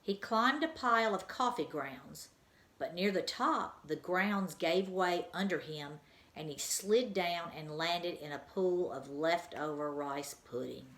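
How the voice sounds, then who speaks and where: A woman reading a children's book aloud as a teacher, with an expressive storytelling manner, in an echoey room. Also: on the low side, slow pace.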